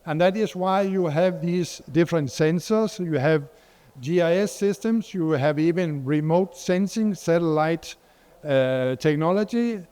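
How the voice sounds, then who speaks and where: Clear-voiced Danish man giving a talk. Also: a little high, measured pace, darkish tone. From Denmark. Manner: hesitant, many fillers and restarts. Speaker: guest speaker, water expert. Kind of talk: conference presentation.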